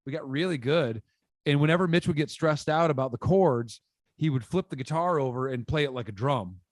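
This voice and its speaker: A man in his thirties, a musician from Michigan, telling stories in a casual interview, rambling and animated, speaking into a studio mic at home. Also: fairly high pitch, fairly quick, dry recording. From Michigan, USA. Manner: animated, rambling, lots of "like" fillers and gestures. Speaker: guest musician. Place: home room, indoors. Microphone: studio mic on boom arm, video call.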